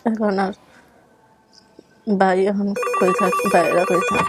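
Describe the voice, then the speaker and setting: A woman's voice: mid-range voice, fairly even intonation, a little slow, slightly rough. A woman with a Bangladeshi accent, casually asking relatives questions and recalling childhood as a guest. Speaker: guest reunited with family.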